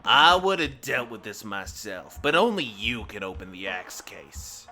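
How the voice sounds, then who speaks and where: An actor playing a gruff, bitter, foul-mouthed older mechanic in a game dub, grumbling and sarcastic. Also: male voice, lowish voice, very animated, measured pace, background noise. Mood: irritated, grumbling. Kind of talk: game fandub voice acting.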